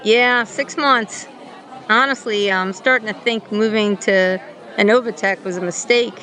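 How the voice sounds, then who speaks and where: A woman's voice: medium pitch, measured pace, pushed and bright, a faint crowd. A woman playing a frustrated, thoughtful company director in a radio play, speaking in a hesitant, conversational way. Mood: frustrated, earnest.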